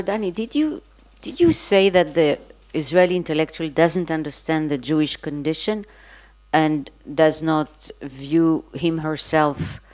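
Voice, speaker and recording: A woman with a fairly low voice speaks from the audience at an academic panel. She works through her point thoughtfully, restarting and circling back with plenty of fillers. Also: a little mellow. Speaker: audience member asking a question. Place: conference hall.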